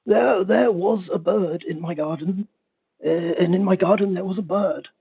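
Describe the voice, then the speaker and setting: A man phones in to a comedy talk show, his voice high and husky. He stammers, repeats himself and keeps circling back to the same point. The sound comes through a thin, tinny phone line. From Spain. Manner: halting, repetitive, stammering. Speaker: caller. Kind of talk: rambling comedic call-in.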